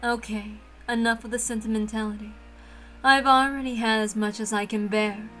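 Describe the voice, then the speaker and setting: Proud, dismissive witch for a visual novel, cool and clipped, high female register, read by a woman. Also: fairly even intonation, normal speed, sharp tone, soft background music. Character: proud, cold witch, grudging and curt.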